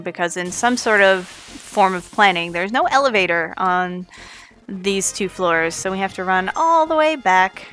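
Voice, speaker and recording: A woman's voice: lowish voice, a bit bright. A Canadian woman with a slight Newfoundland lilt, giving chatty, playful live commentary on her speedrun. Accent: Canadian, with a touch of Newfoundland. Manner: chatty and playful, jokes with chat.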